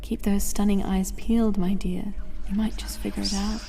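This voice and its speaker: A woman playing a mysterious, seductive ghost in an audio drama, breathy and teasing, often whispering. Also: medium pitch, little pitch movement, close to an everyday voice. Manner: slow, teasing, enigmatic. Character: seductive, mysterious female ghost in her prime. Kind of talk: full-cast audio drama acting. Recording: long reverb, ambient noise behind.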